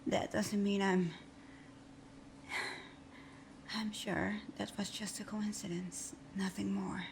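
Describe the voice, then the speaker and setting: A woman playing a supervillain thief whose teasing bravado hides a timid, wounded heart, in a breathy, often whispered, intimate ASMR roleplay style. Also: medium pitch, little pitch movement, takes it slowly, noisy background. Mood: guarded, flustered. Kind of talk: ASMR roleplay audio drama.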